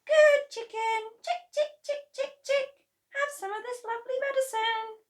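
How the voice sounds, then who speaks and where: A woman reading a children's story aloud, voicing a small gentle boy coaxing a chicken in a high sing-song. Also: fairly even intonation, measured pace.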